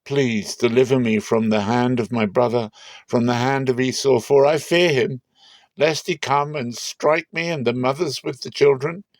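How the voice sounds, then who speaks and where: A man's voice: medium pitch, fairly even intonation, normal speed, no echo, voice pushed up, natural voice. A man performs a humble, fearful patriarch at prayer in a dramatic scripture reading. The voice is lifted above his normal speech, earnest and pleading, with a dry sound.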